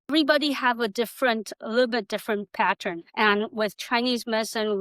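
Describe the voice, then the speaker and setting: A woman's voice: a little high, fairly bright tone, no room sound, rough recording. A middle-aged woman from Taiwan giving an informal workshop talk, rambling and conversational, with non-native English grammar. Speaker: workshop presenter, acupuncturist.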